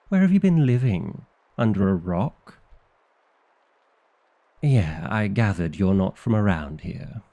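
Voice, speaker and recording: A man's voice: on the low side, warm tone, exaggerated voice. A man playing a bookish, exasperated vampire librarian in an ASMR roleplay, wry and theatrical with flustered indignation. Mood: menacing, indignant.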